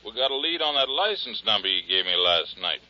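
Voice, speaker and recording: An actor playing a breezy, informal American district attorney's deputy in a 1950s radio drama, chatty and joking. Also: male voice, on the low side, sing-song, a little fast. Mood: intrigued. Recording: dull vintage 1950s radio recording.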